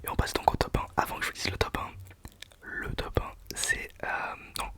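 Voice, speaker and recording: A young man whispering a casual, self-mocking countdown of his favourite songs for his channel. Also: fairly quick. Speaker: channel host. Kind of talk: whispered ASMR music countdown.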